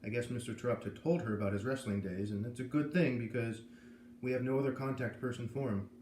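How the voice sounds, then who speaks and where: Grave, kindly physician read by a man, measured and careful, for a children's book read-aloud; echoey room, some noise. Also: medium pitch, a little mellow, natural voice. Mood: gently reassuring. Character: grave, kindly male doctor with greying hair. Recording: roomy echo, noticeable background noise.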